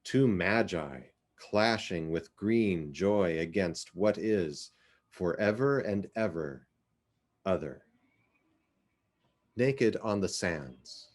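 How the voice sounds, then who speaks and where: Slow, deep-voiced man reading poetry. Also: expressive. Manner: measured, deliberate, theatrical phrasing. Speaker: literary reader.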